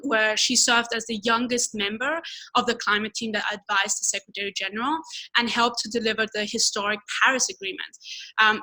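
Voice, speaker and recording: A woman moderates an online webinar, reading out a bio before moving into her questions. Her tone is bright and a touch high, and her delivery stays polite, paced with small ums. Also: low-quality recording. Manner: polite, slightly halting with ums.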